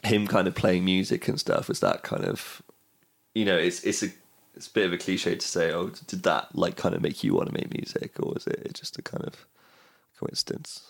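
Low, gravelly male interviewer. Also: big pitch swings, moderate pace. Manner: informal, rambling, thinks aloud. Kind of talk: casual conversational interview.

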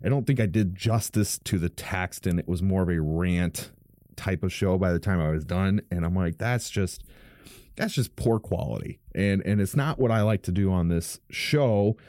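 A man, a pastor, hosting his own podcast from a home studio, speaking in a casual, frank monologue with a fairly deep, smooth voice and many restarts. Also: moderate pace, a little mellow, no room sound. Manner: rambling, frank, self-correcting with many restarts. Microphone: studio microphone.

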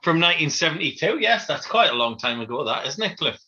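A man's voice: on the high side, very animated, fast, sharp tone. A man, co-hosting a casual chat with dry, self-mocking jokes. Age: 40s. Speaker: podcast co-host. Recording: dull lo-fi sound.

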